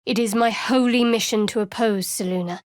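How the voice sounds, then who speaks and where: A young priestess of a dark goddess voiced by an actress for a fantasy video game. Her feminine voice sits a little high, in a very dry studio sound. She is guarded and secretive, with a sardonic, wry edge. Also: fairly even intonation, moderate pace, dry recording, close to an everyday voice. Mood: solemn, guarded.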